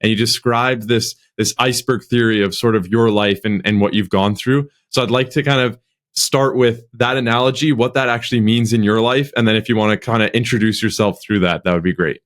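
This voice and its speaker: A man's voice: lowish voice, steady tone, brisk, fairly bright tone. A man hosting a podcast, asking long, loosely framed questions and repeating words as he goes. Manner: rambling, repeats words while framing questions. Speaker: podcast host. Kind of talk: casual interview hosting.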